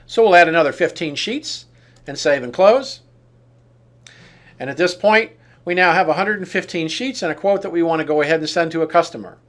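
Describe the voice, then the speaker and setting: A man narrating an on-screen software demonstration, explaining each step in turn. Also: on the high side, brisk. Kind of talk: walkthrough tutorial narration of on-screen steps.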